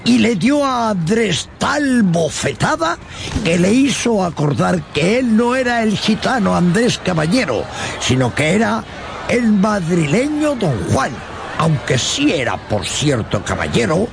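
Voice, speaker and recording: A man's voice: sits high, sing-song, measured pace, vocal fry, noisy background. A man narrating a dramatized literary tale for radio in a theatrical, emphatic storytelling manner.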